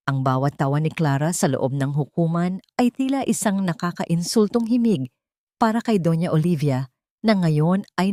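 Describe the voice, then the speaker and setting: Woman narrator, lowish pitch, dry recording, measured solemn storytelling, scripted drama. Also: mellow, no room sound. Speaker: narrator of love stories.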